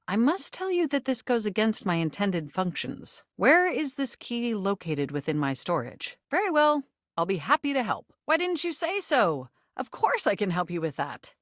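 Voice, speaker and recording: A woman voicing a sentient home computer in a video game, nerdy, formal and excitable, with stilted, wordy technical phrasing. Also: on the high side, no room sound, clean studio sound. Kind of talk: scripted video game character voice acting.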